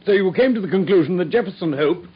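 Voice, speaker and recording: A man's voice: high voice, animated, fast, husky. An actor playing an earnest doctor who eagerly admires his friend and prompts him with questions. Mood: admiring. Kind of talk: 1950s radio drama acting. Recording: dull lo-fi old radio broadcast.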